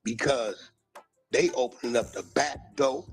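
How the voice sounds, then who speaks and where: A man's voice: medium pitch, a little slow, breathy edge, soft background music. An American man in a slangy, emphatic monologue, calling people out in a confrontational way.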